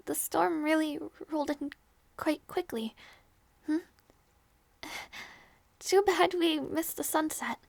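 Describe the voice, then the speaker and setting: High-voiced, shy young princess acted by a woman. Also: slow pace, gravelly, long pauses, dry recording, full character voice. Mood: apologetic. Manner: hesitant, stammering, soft-hearted. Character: young princess, gentle, shy, easily flustered, fussing. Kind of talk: intimate audio roleplay acting.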